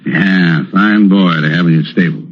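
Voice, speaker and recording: A man's voice: sits low, moderate pace. An actor playing a falsely genial, menacing crime boss with slangy American tough-guy talk, in 1940s radio suspense style. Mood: slyly genial. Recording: muffled, noisy vintage radio recording.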